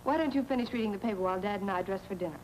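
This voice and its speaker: A gracious but insistent young hostess, acted by a woman in a 1940s serial. She talks quickly at a middling pitch, on a slightly noisy old film soundtrack. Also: darkish tone, close to an everyday voice.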